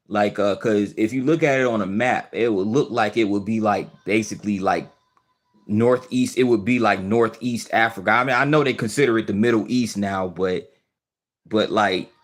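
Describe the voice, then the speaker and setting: Homme à la voix grave, diffusion en direct décontractée. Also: male voice, fairly low pitch, normal speed. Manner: thinks aloud with many restarts and fillers; easygoing, questioning. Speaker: livestream host. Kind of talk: casual live chat, reading viewer comments.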